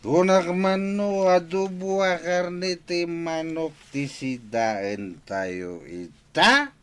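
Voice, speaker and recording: Slow, gruff Filipino husband, a man acting. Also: on the high side, a little hiss or hum. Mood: demanding. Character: gruff, lazy husband demanding his meal. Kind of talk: Filipino radio drama acting.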